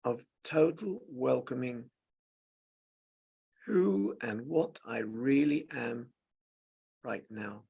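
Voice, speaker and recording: Male meditation teacher, very creaky and husky, speaking gently in short, spaced-out phrases. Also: mid-range voice, expressive, drawn-out words, long pauses. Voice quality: gravelly, husky. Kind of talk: slow guided meditation with long pauses.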